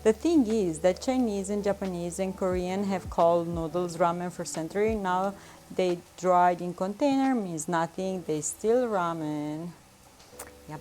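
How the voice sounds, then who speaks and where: Slow-talking chatty woman, music behind. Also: lowish voice. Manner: chatty, laughs often, reads chat aloud. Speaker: vlogger cooking and chatting with live chat. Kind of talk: casual livestream chat. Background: soft background music.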